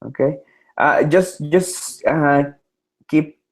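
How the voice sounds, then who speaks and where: Slow, hesitant man. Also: on the high side, steady tone, low-quality recording. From Mexico. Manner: hesitant, many filler sounds. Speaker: student presenter. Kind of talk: online study group presentation. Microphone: video call.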